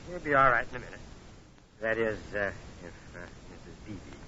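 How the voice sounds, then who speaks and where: An actor playing a calm, fatherly Navy gentleman, courteous and reassuring, in a 1940s radio drama. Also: on the low side, brisk. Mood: gently amused. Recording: muffled, noisy vintage radio recording.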